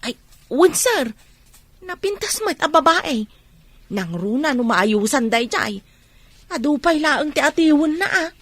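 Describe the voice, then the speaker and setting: A talkative household helper in a radio drama. She has a fairly high voice in a dry recording, deferential but keen to share gossip. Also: sing-song, a little slow, pauses often, a bit bright, no echo, heavily acted. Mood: gossipy, deferential.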